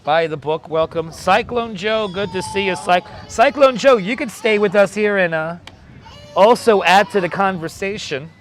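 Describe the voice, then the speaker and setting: A hoarse man hosting a casual outdoor livestream, welcoming viewers by name one after another in a crackly, squeaky voice. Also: moderate pace. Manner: reels off viewer names with repeated welcomes. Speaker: livestream host. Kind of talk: casual live chat greeting viewers. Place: outdoors on a beach boardwalk, city street sounds. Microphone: wireless clip-on mic. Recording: outdoor phone livestream with street noise. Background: faint traffic and city ambience.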